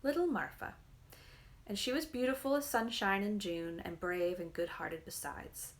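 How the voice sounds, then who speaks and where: A woman's voice: mid-range voice, close to an everyday voice. Woman reading as a tart, dignified old nursemaid storyteller. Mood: storytelling. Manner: precise, measured, stern. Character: tart, dignified old Russian nursemaid telling fairy tales.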